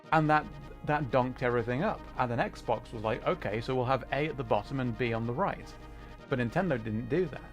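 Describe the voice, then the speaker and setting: A man giving casual gaming livestream commentary, rambling and thinking aloud as he plays. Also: medium pitch. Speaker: livestream host, gamer. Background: music.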